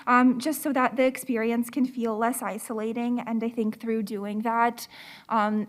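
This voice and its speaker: A young woman from Ukraine, a graduate student on a panel, speaking hesitantly with many "um" and "like" fillers into a handheld mic. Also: a little high. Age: young adult. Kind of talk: panel answers. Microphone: handheld microphone.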